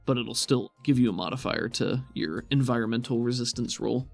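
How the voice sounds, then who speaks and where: A man's voice: mid-range voice, fairly quick, gravelly, soft background music. A man running a tabletop game as game master, talking casually and encouragingly to players.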